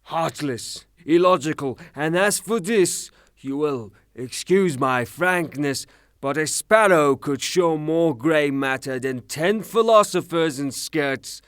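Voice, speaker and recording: An actor as a furious, slow-declaiming gentleman creditor. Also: a little high, expressive, clean tone, dry recording, clean studio sound. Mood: ranting, scornful. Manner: blustering, declamatory, sarcastic, long rhetorical tirades. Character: furious, rude creditor, a ranting misogynist gentleman. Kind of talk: theatrical audio drama acting.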